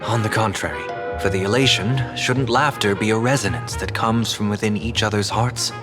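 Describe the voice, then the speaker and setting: An actor playing a composed, courteous, refined man in a video game, speaking in a measured, formal, reflective way. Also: fairly low pitch, over music, close to an everyday voice. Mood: calm, musing. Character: composed, courteous, refined man who once sought godhood. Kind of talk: video game character dialogue.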